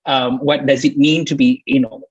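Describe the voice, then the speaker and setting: A Singaporean-accented man takes part in an informal online panel. His voice is a little husky and mid-pitched, and he talks haltingly, repeating phrases and adding small tags. The video-call sound is dry and slightly dull. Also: little pitch movement, no room sound. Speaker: doctoral researcher on a panel.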